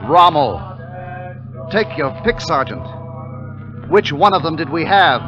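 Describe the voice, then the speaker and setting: Terse army captain, vintage radio. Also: male voice, fairly high pitch, very animated, unhurried. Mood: stunned. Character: army captain narrating his war raid, steady, wry. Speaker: radio actor. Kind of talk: 1950s radio drama acting and narration. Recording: muffled 1950s radio recording, music behind.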